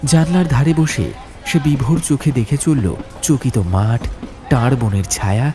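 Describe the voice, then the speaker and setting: A man narrating a scripted horror story in a literary, expressive, dramatic way. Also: medium pitch, a little slow. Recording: music under the voice. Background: background music.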